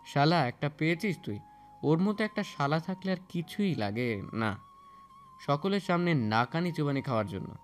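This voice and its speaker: A man's voice: a little high, expressive, normal speed, natural voice. A man playing an irritable, protective young older cousin in an audio story, with a scolding colloquial manner. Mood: grumbling. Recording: music bed under voice.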